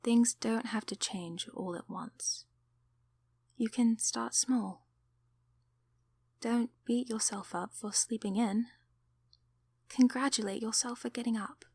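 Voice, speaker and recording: A woman voicing a kindly sleep paralysis demon in an ASMR roleplay, encouraging and affectionate in a soft, soothing, often whispered delivery. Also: a little high, slow, creaky, pauses often, breathy edge, dry recording, exaggerated voice. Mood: tender, encouraging.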